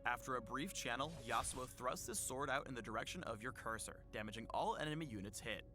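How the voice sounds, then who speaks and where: Mid-pitched man narrating a game explainer. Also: a little fast, over music. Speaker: narrator of game champion spotlight.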